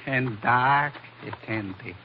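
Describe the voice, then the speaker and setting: An actor playing a menacing foreign henchman with formal, deliberate politeness in a 1940s radio adventure. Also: mid-range voice, lightly acted. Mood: politely menacing. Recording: dull, noisy old radio recording.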